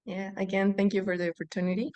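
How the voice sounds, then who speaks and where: A woman's voice: mid-range voice, level tone, fast, warm tone, highs cut off. Upbeat woman from Mexico City. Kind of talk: panel discussion. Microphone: video call.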